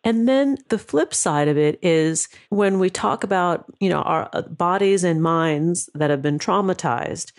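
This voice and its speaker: A woman's voice: on the low side, unhurried, few pauses. A woman hosting an interview show, speaking in an earnest, affirming, reflective way. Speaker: host. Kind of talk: interview talk.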